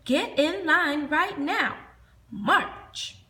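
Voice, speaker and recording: A woman voicing a flustered substitute teacher who calls out to children in a high, loud, bossy voice. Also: expressive, very slow, reverberant, slight background noise, exaggerated voice.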